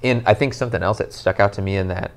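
A man in his thirties, a pastor, talking through a passage on a couch in an earnest, animated way, with lots of "you know". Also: lowish voice, fast, gravelly. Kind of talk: casual podcast conversation. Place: living room, on a couch, indoors.

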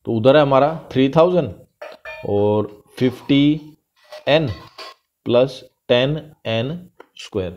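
A man's voice: medium pitch, darkish tone, muffled recording, a little hiss or hum. A male math teacher with an Indian accent, talking through a worked problem step by step over a screen recording. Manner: explains step by step, reads English question lines slowly.

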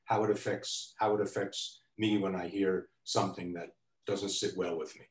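A man's voice: low-pitched, fairly even intonation, moderate pace. An older man on a discussion panel, speaking reflectively and rephrasing for emphasis. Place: home room, indoors. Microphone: computer on video call. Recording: roomy, distant video call audio.

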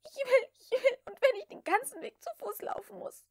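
A woman's voice: very high voice, normal speed, creaky, no room sound, studio quality, voice pushed up. A woman voicing a desperate, willful young woman in an audiobook, urgent and prone to shrieking. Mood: hysterical. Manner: urgent, shrieking when pushed. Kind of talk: dramatic audiobook character reading.

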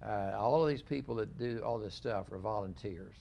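An older man hosting a relaxed studio interview, talking in a rambling, halting way with many restarts. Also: lowish voice, gravelly, a little hiss or hum. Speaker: host. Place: small living-room studio set.

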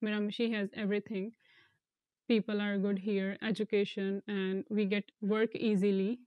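A young woman from India, an international student, speaking earnestly in an interview with some halting restarts, on a lapel mic. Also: medium pitch, flat delivery, no echo, highs cut off. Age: young adult. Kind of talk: casual interview talk.

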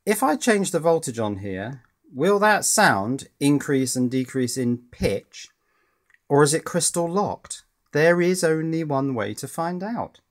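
A man's voice: a little high, lively intonation, unhurried. A man giving casual, thinking-aloud commentary as he takes apart and repairs a toy at his workbench, with a dry, wry manner. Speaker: electronics hobbyist presenter. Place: indoors at a workbench.

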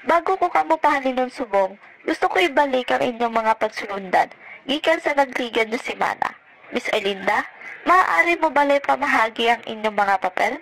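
A woman's voice: high-pitched, lively intonation, normal speed, vocal fry, a bit bright, full character voice, low-quality recording. A woman playing a caring, reassuring teacher in an amateur radio drama. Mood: concerned, reassuring. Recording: music behind the voice.

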